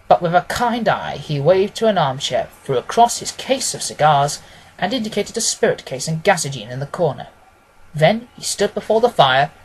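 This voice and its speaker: A man narrating a classic detective story as an audiobook, reading in a measured, formal, expressive way. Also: on the high side, breathy edge, rough recording.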